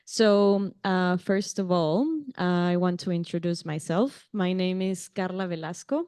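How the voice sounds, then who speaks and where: A woman's voice: lowish voice, fairly even intonation, unhurried, clean tone, dry recording, clean studio sound. A woman from Mexico with a Mexican Spanish accent, a native Spanish speaker, moderating a conference panel in English with friendly laughs and many ums and restarts. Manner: warm, light laughs, frequent ums and restarts. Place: conference venue.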